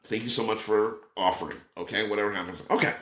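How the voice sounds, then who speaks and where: A middle-aged man telling a story in casual chat, acting out quotes with a raised voice. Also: fast talker. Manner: animated, acts out quotes with raised pitch. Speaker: podcast guest. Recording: roomy echo.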